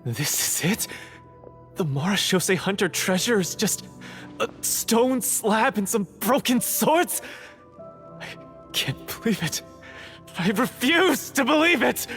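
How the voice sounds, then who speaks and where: An actor playing a troubled, bitter young hunter's heir in a video game, speaking earnestly and dramatically. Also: male voice, high-pitched, animated, takes it slowly, fairly bright tone, faint music behind, full character voice. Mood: dismayed disbelief.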